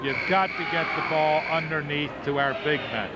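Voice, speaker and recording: Chatty high-voiced color commentator man. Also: steady tone, normal speed, heavy background noise. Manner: chatty, opinionated asides. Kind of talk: casual game analysis. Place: high school gym. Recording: muffled 1990s local broadcast tape, very loud gym crowd. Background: roaring crowd, ball bounces.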